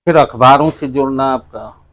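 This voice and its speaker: A man's voice: mid-range voice, moderate pace, fairly bright tone. A man hosting an interview, introducing and questioning his guest. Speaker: interviewer and host. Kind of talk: interview with scripted-sounding introduction.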